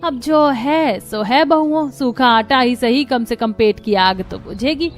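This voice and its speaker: Woman as high-voiced, sharp-tongued village mother-in-law. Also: measured pace, soft background music, natural voice. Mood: wry, resigned. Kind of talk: Hindi cartoon story dub.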